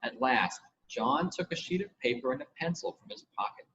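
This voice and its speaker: A man's voice: mid-range voice, animated, normal speed, fairly bright tone. A man narrating a children's storybook expressively, as an audiobook reader. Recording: muffled home recording with hiss.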